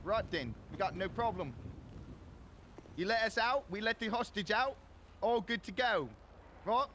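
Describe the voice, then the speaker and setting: A man's voice: high voice, lively intonation, a little slow, fairly bright tone, a little hiss or hum. A man voicing a cocky male robber with a put-on mock Irish accent, taunting the police. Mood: cheeky. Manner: cheeky, taunting. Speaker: roleplayer.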